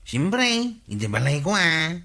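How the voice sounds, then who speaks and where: A man's voice: on the high side, very animated, unhurried, vocal fry, sharp tone, heavily acted. A man playing an eager, lovestruck suitor, earnest and pleading, in a comic Ilocano radio drama.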